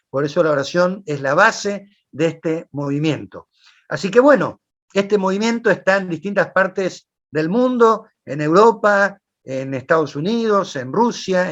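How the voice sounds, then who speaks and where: A man hosting an online talk, relaxed and unhurried, with frequent "este" fillers. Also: sits high, highs cut off. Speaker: host.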